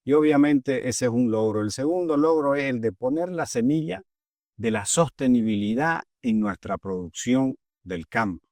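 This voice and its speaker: A middle-aged man with a Bolivian Spanish accent, a guest in an interview, who answers in a measured, earnest way with pauses and fillers. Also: medium pitch, animated, darkish tone, dry recording. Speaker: agricultural leader, guest.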